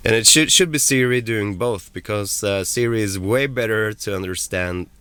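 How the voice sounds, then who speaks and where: A man from Norway with a Norwegian accent, a bit under the weather, giving a casual, halting tutorial from inside a car. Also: on the low side, sharp tone, clear, resonant tone. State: sick, throat a bit off. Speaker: car tech video presenter.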